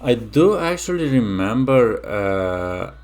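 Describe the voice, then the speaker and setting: A man's voice: medium pitch, very animated, takes it slowly, a little mellow, faint music behind. A young man from Romania hosting a casual reaction video, musing aloud with many fillers and restarts.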